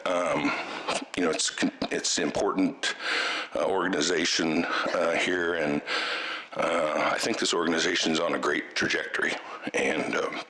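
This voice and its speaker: A middle-aged man with a cold, giving halting farewell thanks as chair at a board meeting, full of fillers and restarts. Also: a bass voice, fairly even intonation, sharp tone, rough recording. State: has a cold, voice strained. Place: meeting room.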